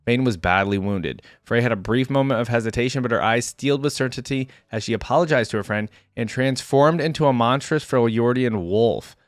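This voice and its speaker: A man's voice: mid-range voice, a little fast, few pauses, no echo, studio quality. A man hosting a reaction video, reading a story aloud and adding casual, informal comments.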